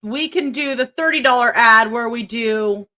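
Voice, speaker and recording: A woman's voice: on the high side, moderate pace, sharp tone. A woman co-hosting a podcast in casual chat, reading show announcements and stumbling over her words. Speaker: podcast co-host.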